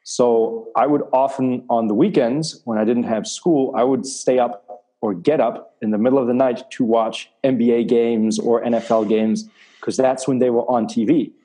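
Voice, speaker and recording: A man who grew up in Germany and Spain, a coach answering interview questions in a measured way with frequent fillers. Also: mid-range voice, fairly even intonation, dark tone. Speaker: athletics coach.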